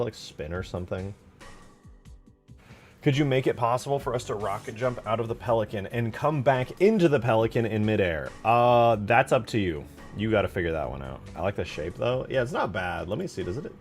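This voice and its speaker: Guy musing out loud over a game showcase, lowish voice but really up and down, faint music behind. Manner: musing, thinking aloud with informal wording. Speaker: video creator presenting game mods. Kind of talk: casual off-the-cuff game mod showcase commentary.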